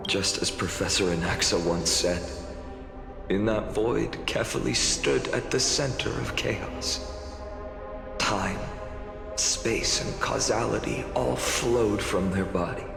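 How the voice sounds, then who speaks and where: A man playing a solemn, resolute young warrior hero in a video game, speaking earnestly and wistfully in measured phrases with a breathy, gravelly edge. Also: fairly low pitch. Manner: measured, earnest, heavy with pauses. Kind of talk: dramatic video game voice acting. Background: music behind the voice.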